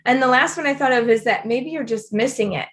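A woman co-hosting a podcast in casual, animated conversation about faith. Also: sits high, a little fast. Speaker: podcast co-host.

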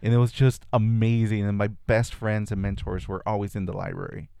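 Man, native spanish speaker, low voice, gravelly, smooth and resonant, dry close sound, reflective storyteller. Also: flat delivery, a little fast, mellow, no room sound. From California. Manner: reflective storytelling with frequent restarts. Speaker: guest. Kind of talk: casual conversation.

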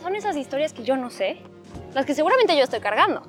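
A thirty-year-old Mexican woman speaking reflectively as an interview guest, with pauses and tag questions. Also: high voice, big pitch swings, fairly bright tone, faint music behind. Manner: thoughtful, hesitant, many fillers and rhetorical "¿no?". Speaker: guest, psychology student. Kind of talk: reflective conversation. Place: outdoors, walking in a cemetery.

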